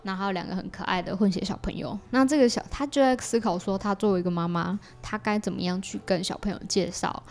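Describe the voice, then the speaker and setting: A woman speaks with a Taiwanese Mandarin accent at an average pitch. She talks as a podcast guest, explaining in an informal, chatty way and readily repeating words of agreement. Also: fast, few pauses. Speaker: podcast guest, exhibition project creator. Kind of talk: casual podcast interview.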